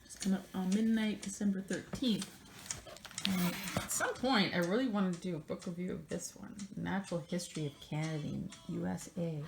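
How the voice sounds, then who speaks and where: A woman's voice: on the low side, vocal fry, background noise. Sardonic woman doing a theatrical reading. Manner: theatrical, sardonic, breaks into asides. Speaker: host reading a book aloud with asides. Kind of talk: mocking dramatic reading with casual commentary.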